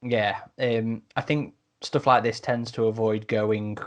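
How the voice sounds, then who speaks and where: A man in a casual podcast discussion, talking in a halting, piecemeal way. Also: lowish voice, fairly even intonation. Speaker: podcast panellist.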